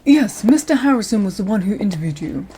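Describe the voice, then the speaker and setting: Woman playing senior female lawyer, audio roleplay, polite, measured, professional, medium-pitched voice, faint background noise. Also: very animated, mellow, close to an everyday voice. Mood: polite, businesslike. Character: courteous senior female lawyer showing a newcomer around. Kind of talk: scripted audio roleplay, addressing the listener.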